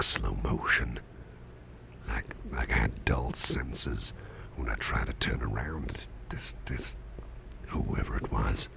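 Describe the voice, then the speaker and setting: A man playing a drowsy, gentle businessman boss in an ASMR roleplay, murmuring softly and breathily in a halting, rambling way, close to the microphone. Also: heavily acted. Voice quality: breathy, half-whispered gravelly rumble. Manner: halting, rambling, sleepy delivery.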